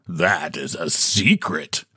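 A man's voice: mid-range voice, wildly swooping pitch, slow, fairly bright tone, husky, no room sound, studio quality, heavily acted. A man voicing a short, fat, shabby book agent in a storybook, sly and mock-polite with a mysterious, pompous manner. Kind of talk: storybook character voice.

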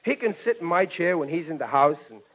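A man's voice: on the high side, expressive, fast talker, fairly bright tone. An actor with an American accent playing a blustering working-class family man, boastful but cowardly, in a 1940s radio sitcom. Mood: sheepish. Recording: muffled, noisy 1940s radio recording.

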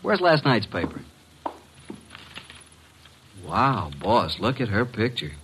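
Brash, animated radio detective's sidekick. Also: male voice, lowish voice, slow pace, full character voice. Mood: wry, chatty. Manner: breezy, slangy, animated. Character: brash, wisecracking young male detective's assistant and narrator. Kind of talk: 1940s radio detective drama acting. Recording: noisy vintage radio recording.

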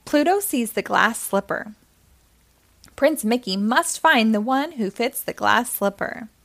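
A woman reading a story aloud to young children in an expressive, deliberate way with simple phrasing. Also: a little high, creaky.

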